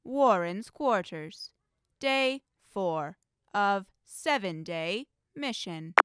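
A woman plays an impersonal log announcer in an audio drama. The voice is crisp and clean, slow in pace, with a very dry sound. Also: mid-range voice, expressive, a bit bright, no echo. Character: impersonal log announcer stating recording details.

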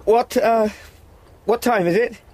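An actor playing a frightened young solicitor in a radio drama, hesitant, stammering and full of questions. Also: male voice, very high-pitched, takes it slowly, heavily acted. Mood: startled, confused.

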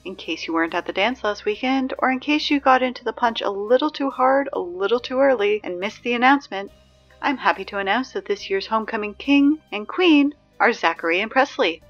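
A woman playing a chatty, exasperated high school morning announcer in a scripted audio drama, conversational and wry with hesitant asides. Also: lively intonation, a little fast, lo-fi sound, soft background music.

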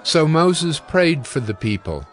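A man narrating scripture from a script in a measured, solemn storytelling manner. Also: a little high, sing-song. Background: soft music.

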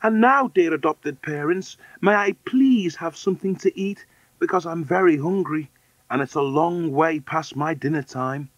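A man's voice: a little high, moderate pace, dry recording, highs cut off, voice pushed up, natural voice. A man voicing a small, hopeful orphan girl in a children's storybook, polite and earnest.

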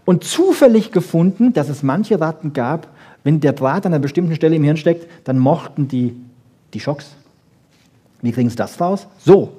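A man giving a lively popular science lecture, emphatic, with rhetorical questions and short punchy asides. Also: a little high, moderate pace, husky. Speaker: lecturer on brain research.

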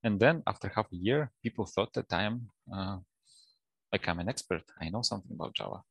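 A man with a Russian accent gives relaxed interview answers in a low-pitched voice. It has a lot of fry, and he pieces his sentences together haltingly. The headset sound is dry, with very little room in it. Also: no echo, poor audio. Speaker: guest, data science professional. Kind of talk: casual interview talk. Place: office, indoors, on a video call.

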